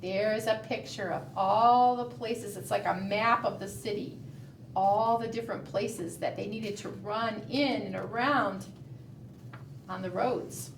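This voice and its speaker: A woman's voice: mid-range voice, steady tone, measured pace. A woman leading a preschool storytime, reading aloud and doing fingerplay in a sing-song manner, often putting questions to young children. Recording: roomy, distant mic, faint background noise.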